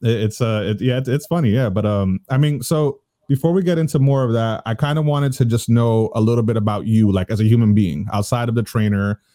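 A man's voice: medium pitch, fairly quick, few pauses, mellow, no echo, clean studio sound. A man in his thirties interviewing in an informal, agreeable, rambling conversational way. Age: 30s.

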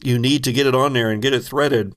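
A man with a gravelly voice narrating a tabletop game as game master, describing scenes playfully and off the cuff. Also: medium pitch, steady tone, quick pace, a bit bright. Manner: descriptive, playful storytelling with pop-culture asides. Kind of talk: improvised narration of a tabletop horror-comedy game.

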